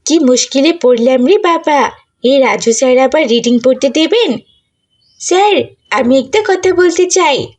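A woman's voice: high-pitched, lively intonation, a little slow, a little mellow. A woman voicing a sly, whiny schoolgirl who makes excuses, in a pleading, dramatic manner for a cartoon.

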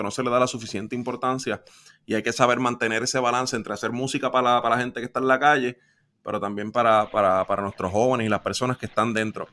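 A man's voice: medium pitch, sharp tone. A young man hosting an interview with upbeat, friendly, slang-laced talk. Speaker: host. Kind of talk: casual interview. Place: home studio with shelves.

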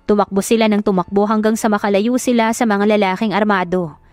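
A woman's voice: medium pitch, steady tone, brisk, dry recording, faint music behind. A Filipino woman reading a love story as a dramatic audiobook narrator.

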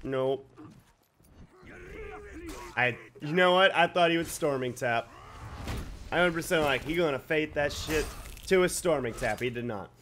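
A young man with a slightly raspy voice giving casual, swearing, excited commentary over a video game he plays at home. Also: fairly high pitch, a little hiss or hum. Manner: swears freely, excited outbursts and quick reactions. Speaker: gaming YouTuber. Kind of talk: live gameplay commentary. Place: home gaming setup, indoors.

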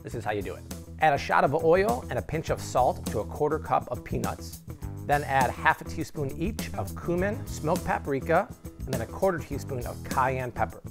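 A man in his thirties with a Chicago accent, hosting an energetic, playful cooking demo in his home kitchen. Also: a little high, normal speed, vocal fry, music in the background. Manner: upbeat, playful, salesy. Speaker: cooking show host.

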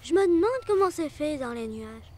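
A woman's voice: high voice, sing-song, moderate pace, warm tone, slight background noise. A woman voicing a wide-eyed little boy in a children's cartoon, eager and full of wonder.

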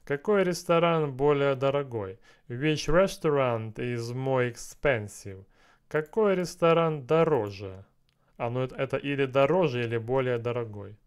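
A man in his thirties speaking slowly and deliberately into a headset, his voice smooth and clean. he mutters along as he works through language drills, echoing and translating each phrase. recorded in a small room. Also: mid-range voice. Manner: slow, repeats and translates phrases, mutters to himself. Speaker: language learner streaming a lesson. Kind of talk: thinking aloud while doing app exercises.

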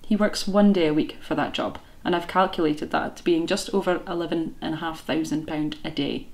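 Pointed woman, fairly low voice. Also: fairly even intonation, darkish tone. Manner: pointed, deliberate. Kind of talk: speaking to camera. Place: living room indoors.

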